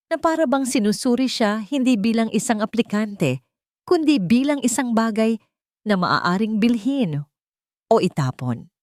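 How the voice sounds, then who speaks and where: A woman's voice: mid-range voice, darkish tone, no room sound, clean studio sound. A woman reading a scripted romance story as a dramatic narrator.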